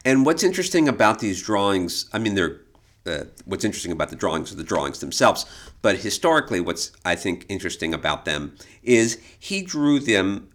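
A man with a deep voice and a crisp, bright edge talks casually as a podcast co-host. He unspools anecdotes in a conversational style, as one half of a relaxed discussion. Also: brisk.

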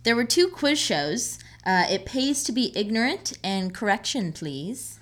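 A woman's voice: medium pitch, sing-song, measured pace. A woman co-hosting a podcast in casual conversation, reacting agreeably and prompting her partner. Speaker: podcast co-host.

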